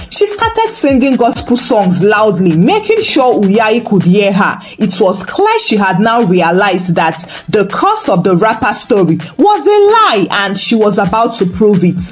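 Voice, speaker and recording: A woman narrating a scripted folktale in an expressive, dramatic storytelling manner. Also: mid-range voice. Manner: dramatic, animated delivery.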